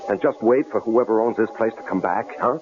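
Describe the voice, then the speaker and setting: A man's voice: on the low side, fairly quick, lightly acted. Man acting a fretful husband, dull noisy recording. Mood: fretful, insistent. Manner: hesitant, stammering, fretful. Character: nervous, cautious husband caught in a blizzard. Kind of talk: 1970s radio drama acting. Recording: dull, noisy old radio broadcast recording.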